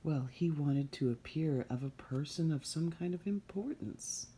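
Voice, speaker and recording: A woman storyteller reads a fairy tale aloud. Her voice is low, soft-edged and mellow. She takes her time and tells it expressively, as at storytime. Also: a little hiss or hum. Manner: unhurried, expressive storytime delivery.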